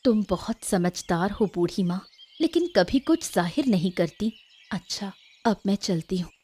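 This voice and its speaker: Lady as worried neighbour woman in a story, kind but chiding, lively medium voice. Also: medium pitch, a little slow, breathy edge. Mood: sympathetic, frank. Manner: sympathetic, gently chiding. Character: concerned, frank neighbour woman, sympathetic to an old lady. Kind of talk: Hindi audio story acting.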